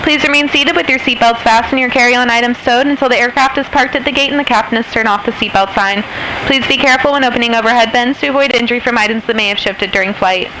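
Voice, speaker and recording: A woman's voice: a little high, fast, bright, forceful tone. A woman flight attendant making partly scripted cabin announcements over an aircraft PA. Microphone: cabin PA handset. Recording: noisy aircraft cabin PA system.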